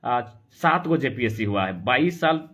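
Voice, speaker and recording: A man's voice: mid-range voice, expressive, unhurried, a bit bright, breathy edge. A man from Jharkhand with a Jharkhandi Hindi accent, speaking as an activist in an insistent, argumentative live political talk. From Jharkhand, India. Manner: insistent, argumentative, full of rhetorical questions. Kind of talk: impassioned political talk on a live stream, answering comments. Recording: dull, lo-fi sound.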